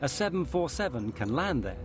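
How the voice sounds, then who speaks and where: A man narrating a documentary in a measured, grave scripted style. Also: on the high side, big pitch swings, over music.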